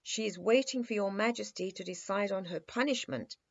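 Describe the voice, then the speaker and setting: A woman voicing a stern, self-important male toy captain with a gruff manner, in a children's storybook reading. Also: medium pitch, normal speed, highs cut off, pitched above the performer's own voice, close to an everyday voice. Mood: deferential, aggrieved.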